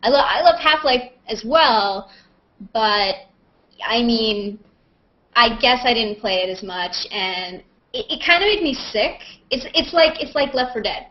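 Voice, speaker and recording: A woman with a fairly high voice speaks through slightly dull, lo-fi webcam audio. She talks casually and digressively, thinking aloud and poking fun at herself as she hosts a live question session. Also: expressive, unhurried. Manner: self-mocking, giggly, digressive, thinking aloud. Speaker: actress and vlogger hosting a live Q&A. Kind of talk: casual rambling chat, answering questions on a livestream. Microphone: video call on a computer.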